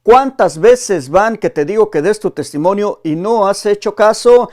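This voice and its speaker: A man's voice: sits high, expressive, a little slow, darkish tone. A man hosting a religious testimony program, pressing viewers directly and interviewing a caller. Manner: urgent, exhorting, direct address to viewers. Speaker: host of a testimony channel.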